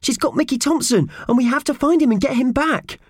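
A man voicing a small, excitable, anxious young male friend in a children's audiobook, eager and fretful. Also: very high-pitched, a little fast, breathy edge, dry recording, clean studio sound, voice pushed up. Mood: excited, urgent.

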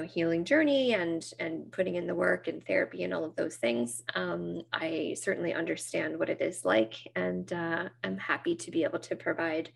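Gravelly Nova Scotian woman, earnest. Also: on the low side. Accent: Canadian (Nova Scotia). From Nova Scotia, Canada. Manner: earnest, thoughtful, many fillers and restarts. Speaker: guest therapist. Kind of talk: interview conversation.

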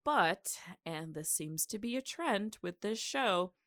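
A woman's voice: mid-range voice, animated, takes it slowly, a bit bright, no room sound, clean studio sound. A young woman hosting a podcast in a casual, rambling way, peppering her talk with "like". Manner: rambling, heavy use of "like". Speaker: podcast host.

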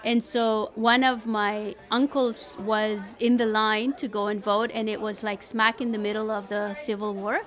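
A woman's voice: mid-range voice, flat delivery, measured pace, few pauses. A woman from El Salvador who moved to Canada as a child, talking conversationally in an interview as a community coordinator. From El Salvador, moved to Canada at eleven.

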